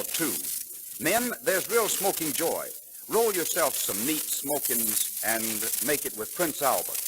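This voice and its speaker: A man's voice: mid-range voice, noisy background. An American man announcing a scripted 1930s radio commercial with a polished salesman's emphasis, on an old broadcast recording. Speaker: radio announcer reading sponsor ads.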